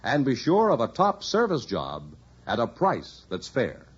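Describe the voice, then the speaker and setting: A man's voice: mid-range voice, wildly swooping pitch, a little slow, breathy edge. An American man announcing on 1950s radio, reading scripted sponsor messages with a polished, emphatic sales delivery. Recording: dull lo-fi vintage radio recording.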